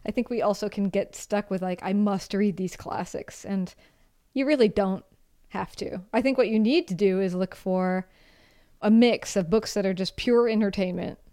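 A woman's voice: medium pitch, moderate pace, dark tone. A woman co-hosting a podcast, chatting casually and thoughtfully about reading and food, with easy laughs. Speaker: podcast co-host. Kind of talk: casual chat.

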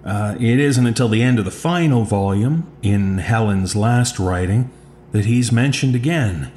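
Man acting low, slow-paced, uneasy archivist. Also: a little mellow, a little hiss or hum, lightly acted. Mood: measured, factual. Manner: measured, deliberate, matter-of-fact with hesitations. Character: uneasy male archivist secretly recording case notes. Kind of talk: dramatic horror audio narration.

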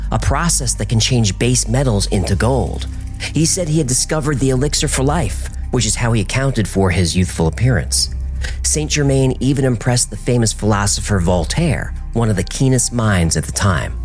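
Dramatic male documentary narrator. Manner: dramatic storytelling with wide pitch swings.

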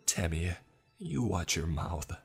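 A man's voice: low-pitched, sing-song, slow pace, creaky, husky, soft background music, full character voice. A man voicing the ghost of a mother in a horror story, speaking in a pained, sweetly coaxing way. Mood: scolding.

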